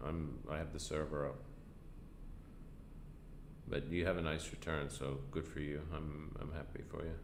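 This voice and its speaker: A man's voice: very deep voice, flat delivery, normal speed, pauses often, noisy background. A congested, tired man hosting a casual live stream, thinking aloud with hesitant fillers and restarts. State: congested, stuffy nose; tired from lack of sleep. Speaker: trading stream host.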